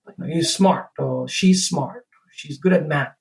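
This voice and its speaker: A man's voice: on the high side, normal speed, vocal fry. Reflective man. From Sri Lanka. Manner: reflective, thinks aloud with many "you know" and "right?". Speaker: returning podcast guest. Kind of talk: casual conversation.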